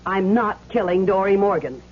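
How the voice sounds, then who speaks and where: A woman's voice: mid-range voice, moderate pace, lightly acted. An actress playing a defiant, principled crook's girlfriend in an old radio drama. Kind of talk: 1970s radio drama acting. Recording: muffled, noisy old radio recording.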